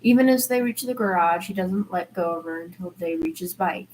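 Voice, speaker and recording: Woman, slightly low voice, story narration, halting delivery with restarts, faint noise in a home recording. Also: a little mellow, rough recording. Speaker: fan fiction reader. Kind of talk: audiobook-style narration of a story.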